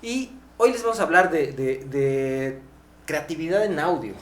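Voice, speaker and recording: Echoey, higher-pitched Mexican man. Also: animated. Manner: halting, often tags phrases with "¿no?". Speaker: podcast host. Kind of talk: conversational explaining. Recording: echoey room, clear background noise, hum.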